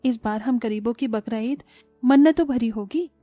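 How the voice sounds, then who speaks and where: A woman's voice: on the high side, normal speed, mellow, no echo, soft background music, lightly acted. An actress playing a polite, devout poor mother in a cartoon story.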